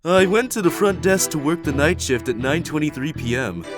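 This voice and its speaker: A man voicing a spacey, laid-back male yak who rambles in a dreamy, drawn-out way. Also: fairly high pitch, animated, over music, natural voice.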